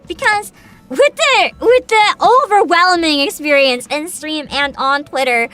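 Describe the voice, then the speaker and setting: A woman's voice: high-pitched, unhurried, sharp tone. A woman streamer chatting casually on a livestream, thinking aloud, hesitant and often laughing.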